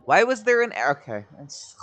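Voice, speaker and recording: A man's voice: high-pitched, sing-song, slow, fairly bright tone. A man giving casual, joking game commentary, often muttering in short clipped fragments. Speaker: gaming streamer. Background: game music.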